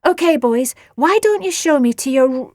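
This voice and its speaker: A high, close and dry voice: a woman narrator playing a playful storybook babysitter who schemes to frighten. Her delivery is melodramatic and wheedling, full of exclamation. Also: animated, moderate pace, darkish tone, no room sound. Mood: cheery.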